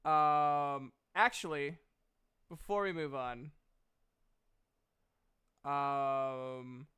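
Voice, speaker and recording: A man's voice: a little high, fairly even intonation, very slow, sharp tone, clean tone. A man running a tabletop role-playing game as game master, narrating and guiding players in a playful, teasing way.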